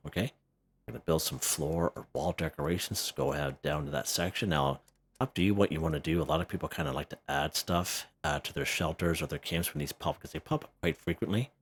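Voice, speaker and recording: A man with an extremely deep, low voice talks through a game guide in an easygoing, chatty way, his throat a little hoarse. He keeps checking in with the viewer, and there is a faint hum of noise behind him. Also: fairly bright tone. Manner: chatty, checks in with "okay?" and "right?". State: slightly hoarse. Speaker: gaming video host. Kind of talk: casual game walkthrough.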